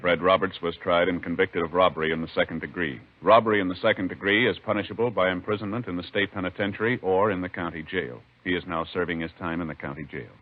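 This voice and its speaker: A man's voice: low-pitched, steady tone, fast talker, hardly any pauses. An American man announcing in a formal, solemn, official 1950s radio narration style. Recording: muffled old 1950s radio recording over music. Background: loud music.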